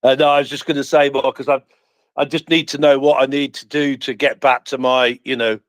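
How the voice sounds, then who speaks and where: A man's voice: medium pitch, flat delivery, fairly quick, no echo. A man with a Midlands accent chatting casually and jokily on a live audio space. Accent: Midlands English. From English Midlands. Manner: chatty, jokey, many fillers. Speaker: panelist.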